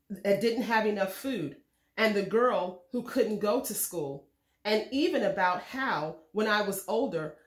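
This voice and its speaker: A woman reading a children's storybook aloud in a measured, expressive way. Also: mid-range voice, reverberant. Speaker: read-aloud host reading a children's book. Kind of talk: scripted storybook narration for children.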